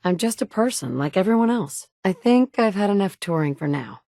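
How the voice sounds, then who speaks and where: A woman's voice: mid-range voice, lively intonation, a little fast, vocal fry, dark tone, no echo, studio quality. An actress voicing a confident, wry female survivor in a video game, delivering blunt, self-assured lines. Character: confident, wry adult woman wasteland survivor. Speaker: player character in a video game.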